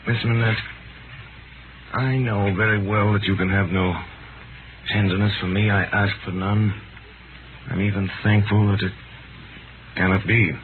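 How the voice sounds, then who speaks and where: Male actor as ruined romantic gentleman, very low voice, solemn and halting, vintage radio drama with clear background noise. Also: flat delivery, lightly acted. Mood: resigned sorrow. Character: Sydney Carton, dissolute despairing man, tenderly self-abasing. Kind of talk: 1930s radio drama acting. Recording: noisy tinny 1930s radio broadcast.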